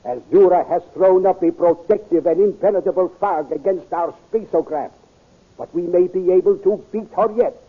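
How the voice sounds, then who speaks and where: A high, husky-edged male commander declaims with firm authority. The style is old radio adventure serial, and the sound is thin and boxy like an aged broadcast. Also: normal speed. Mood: scheming, assured. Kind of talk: 1930s radio acting. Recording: muffled tinny old radio recording.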